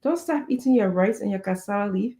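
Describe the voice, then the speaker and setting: A woman's voice: medium pitch, brisk, mellow. A middle-aged woman with a Liberian accent, giving earnest, conversational advice in an emphatic way with short, repeated exhortations. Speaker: guest speaker giving parenting advice.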